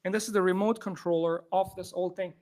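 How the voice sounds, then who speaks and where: A man from Afghanistan, a software engineer, giving an informal live tech demo to a room audience on a handheld microphone, talking fast with many restarts. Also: high voice, fairly even intonation. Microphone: handheld or clip microphone.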